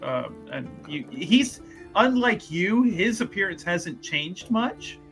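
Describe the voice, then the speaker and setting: A man's voice: sits high, very animated, slow, gravelly, fairly bright tone, faint music behind. A man narrating a tabletop game as game master, rambling and joking with many fillers.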